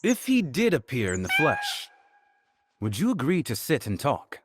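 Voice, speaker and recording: A man playing a composed, shrewd young diplomat in a game, speaking in a measured, articulate way with quiet confidence. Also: fairly high pitch, huge pitch swings, background noise. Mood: confident, persuasive. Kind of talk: dramatic game dialogue.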